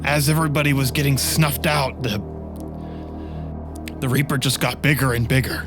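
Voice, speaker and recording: A man playing an urgent, blunt, battle-shaken scout who reports haltingly in a tabletop roleplay. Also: medium pitch, fairly even intonation, music in the background, natural voice.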